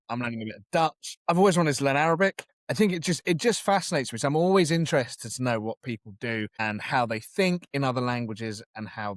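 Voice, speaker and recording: A man's voice: medium pitch, dry recording. A middle-aged man hosting an interview, asking curious questions and giving encouraging replies. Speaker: podcast host. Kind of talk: interview host.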